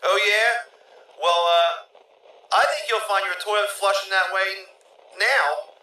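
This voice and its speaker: A man's voice: high-pitched, slow, pushed and bright, heavily acted, low-quality recording. A man playing an awkward, polite plumber in a comic audio play, hesitant and stammering. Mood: affable, matter-of-fact. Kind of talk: comedic audio play acting.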